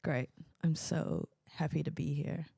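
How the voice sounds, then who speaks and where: A woman's voice: sits low, fairly even intonation, measured pace, vocal fry, mellow, breathy edge, no room sound, clean studio sound. A young woman co-hosting a podcast, telling stories in casual, bubbly chat. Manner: chatty, bubbly storyteller with laughs. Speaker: podcast co-host.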